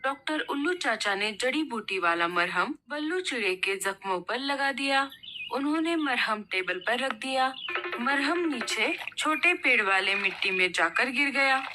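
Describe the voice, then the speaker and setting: A woman's voice: sits high, animated, unhurried, pushed and bright, faint music behind. A woman reading scripted narration as the storyteller of a children's tale.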